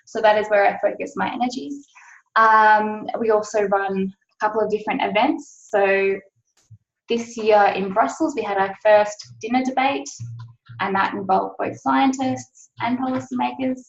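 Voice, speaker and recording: An Australian woman works through her slides in an explanatory, easygoing way, with plenty of "um"s along the way. Her voice sits at an ordinary pitch. The audio is a little dull and has a roomy echo. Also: fairly even intonation, normal speed. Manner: explanatory, frequent "um" fillers. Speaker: policy officer presenting a webinar. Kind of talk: webinar presentation.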